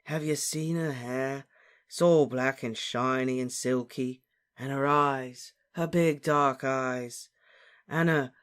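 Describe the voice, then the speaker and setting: A man voicing a dazed, lovesick teenage boy wizard in a breathy, hoarse voice for a storybook. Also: fairly high pitch, animated, full character voice. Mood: dreamy, lovesick. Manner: slow, dreamy, drawn-out delivery.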